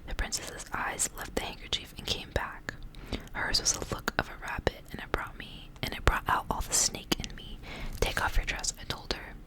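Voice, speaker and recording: A woman doing ASMR, reading a story aloud in a soft, breathy whisper. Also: moderate pace, poor audio.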